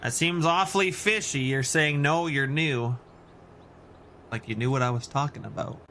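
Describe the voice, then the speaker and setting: Man, Canadian accent, bright forward voice, casual rambling game-stream chat, faint background noise. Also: mid-range voice, normal speed. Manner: rambling, reacting to chat messages. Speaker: video game livestream host. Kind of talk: casual livestream chat while gaming.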